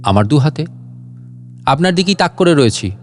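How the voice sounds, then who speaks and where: A man's voice: fairly high pitch, lively intonation, normal speed, a little mellow, soft background music, close to an everyday voice. A man voicing a bossy, irritable burglar in a comic audio story, stern and scolding, full of boasts and threats. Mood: menacing. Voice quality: stern voice, hacking cough. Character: boastful, irritable male burglar mistaken for a ghost.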